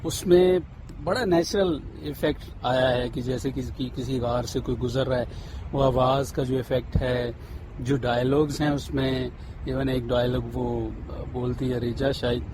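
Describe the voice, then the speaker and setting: A man's voice: mid-range voice, fairly even intonation, a little slow, background noise. A man chatting casually as an interview guest. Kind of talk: casual chat.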